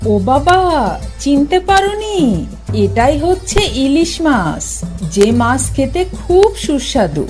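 A woman as a lively cartoon demoness, high-pitched, very animated swoops, faint music behind. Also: slow, full character voice. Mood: excited. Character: lively female demon villager who loves fish. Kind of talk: Bengali cartoon dubbing.

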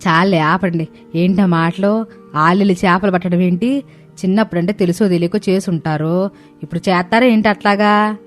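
A woman voicing a scolding older mother-in-law in an animated story, exasperated and colloquial. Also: fairly low pitch, normal speed, faint music behind, close to an everyday voice. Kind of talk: animated moral story dialogue.

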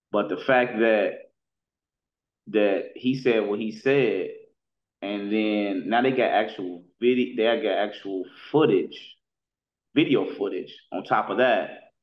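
Slightly muffled call audio carries a man's lowish voice. He comments casually on street news in slang, circles back over his phrases and checks that he is understood. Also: slow pace, reverberant. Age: middle-aged. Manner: slangy, repetitive, checks in with listener. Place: indoor room, video call.

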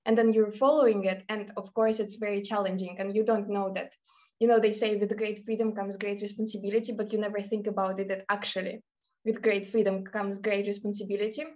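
A woman from Russia talks through her thoughts with eagerness, building up her points one after another. Her voice sits at a medium pitch, and the video call audio sounds dull and lo-fi. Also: level tone, a little fast, darkish tone. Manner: enthusiastic, reflective. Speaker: student panelist. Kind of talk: casual remote panel answer.

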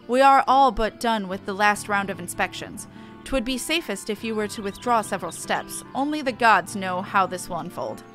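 A woman's voice: medium pitch, moderate pace, fairly bright tone, pitched below the performer's own voice, lightly acted. A woman voicing a small, determined airship pilot from a fantasy game in an earnest, formal, declamatory way. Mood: earnest resolve. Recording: faint music behind.

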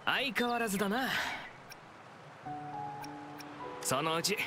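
Chatty young food vendor, anime game, high voice, very animated, casual. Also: male voice, brisk, faint music behind. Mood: fond, easygoing. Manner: rough, familiar, casual speech. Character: chatty, easygoing young male food stall keeper.

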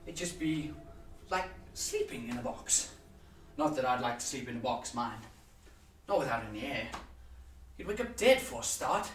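A young man acting a morbidly musing, rambling character in a halting theatre monologue. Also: medium pitch, big pitch swings. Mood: uneasy musing. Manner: halting, conversational musing with swings in volume. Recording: roomy, distant mic with noise.

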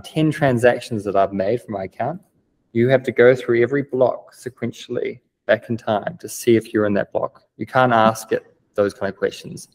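A man's voice: medium pitch, a little mellow. A young man with a New Zealand accent, explaining things eagerly on a remote video call, with many "um" fillers. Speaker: guest, business developer. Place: plain-walled room indoors.

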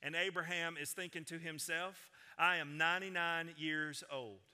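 Bright-voiced preaching man in a reverberant hall. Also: on the high side, fairly even intonation, normal speed, clean tone. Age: 40s. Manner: preaching with wide gestures, swinging from loud proclamation to hushed intensity. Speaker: senior pastor. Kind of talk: sermon. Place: large church stage with piano and guitars. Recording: sanctuary with long reverb.

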